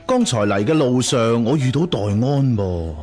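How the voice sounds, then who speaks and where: An actor playing an eager, fawning hanger-on in a radio drama, chatty and obsequious. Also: male voice, medium pitch, expressive, a little slow, a little mellow, faint music behind, natural voice. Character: eager, flattering hanger-on, sworn younger brother. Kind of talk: Cantonese radio drama acting.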